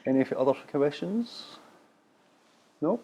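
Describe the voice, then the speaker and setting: A man hosting and moderating a live question-and-answer session, brisk as he calls for questions and wraps up. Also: a little high, lively intonation, warm tone. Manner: brisk, wrapping up and calling for questions. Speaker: host and moderator.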